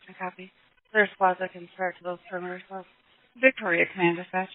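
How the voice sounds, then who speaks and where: Female dispatcher, fast clipped radio replies, narrow tinny audio. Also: on the low side, fairly even intonation, a little hiss or hum. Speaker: emergency dispatcher.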